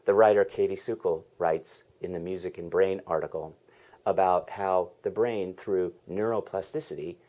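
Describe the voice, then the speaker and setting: A man's voice: sits low, fairly even intonation, moderate pace, dark tone. A man hosting a podcast, earnestly reading a scripted introduction. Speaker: podcast host.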